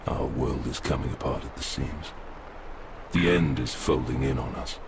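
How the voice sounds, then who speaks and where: A man's voice: extremely low pitch, moderate pace, noisy background. A man voicing an ancient, proud, melancholy stag-headed forest king in a video game, speaking in solemn, grandiose, poetic pronouncements. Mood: mournful, bitter. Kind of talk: video game cutscene acting.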